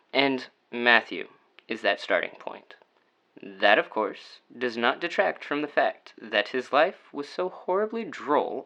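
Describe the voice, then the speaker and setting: A man's voice: on the low side, fairly bright tone, slight background noise. A man playing a brooding, sardonic storyteller in an audio drama, narrating in a wordy, formal, theatrical way. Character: brooding, sardonic male storyteller of a dark tale.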